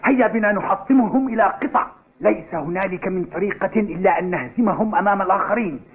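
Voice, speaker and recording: A man's voice: sits high, fast talker, slightly rough. A man voicing a cocky, mocking school footballer who challenges his rivals in an Arabic cartoon dub. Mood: challenging. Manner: mocking, provocative. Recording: tinny, phone-like old TV dub audio.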